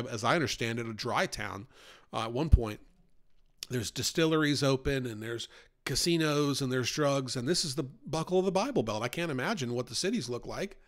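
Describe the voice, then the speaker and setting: Guy doing a casual bible study podcast, earnest, mid pitch, voice climbs when he hammers a point. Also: fairly bright tone. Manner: earnest and conversational, rises in pitch for emphasis. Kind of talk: informal Bible teaching.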